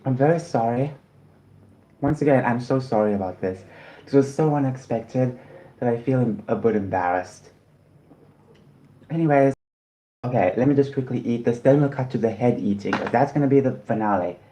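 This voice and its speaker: A man from South Africa talks casually through a livestream, rambling from one thought to the next and apologizing often. His voice is dark and mellow, and his pitch sits in a middling range. He speaks from a room at home. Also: moderate pace, long pauses, low-quality recording. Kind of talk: casual livestream chat while eating.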